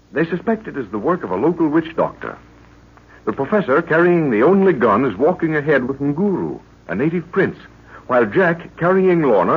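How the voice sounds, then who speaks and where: A dramatic male narrator of an old radio adventure serial. His delivery swings widely in pitch and is slightly husky, heard through a thin, phone-like vintage recording. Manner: dramatic, declamatory. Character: dramatic male announcer of a jungle mystery serial. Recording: tinny, narrow-band old radio recording.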